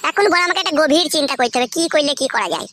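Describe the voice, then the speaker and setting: Woman as very high-pitched whiny cartoon village lad. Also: expressive, rapid-fire, a bit bright, slight background noise. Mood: self-pitying. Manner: grumbling, foul-mouthed, whining complaints. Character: crude, whiny, hapless young village man. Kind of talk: comic cartoon dubbing. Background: music.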